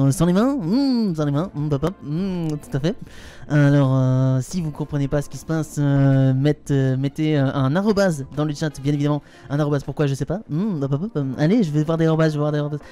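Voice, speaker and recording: A man putting on a loud, goofy French Caribbean accent, chanting and hyping up his live chat. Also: on the high side, smooth, lightly acted. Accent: put-on French Caribbean accent. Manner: loud, sing-song, with hop-hop chants. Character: goofy man, clownish and hyped-up.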